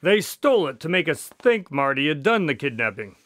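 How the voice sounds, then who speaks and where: An earnest doctor and detective's companion played by a male actor in a radio drama. His voice is fairly high and animated as he explains and recounts, and the sound is close and dry. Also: fairly quick, no room sound. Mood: explanatory.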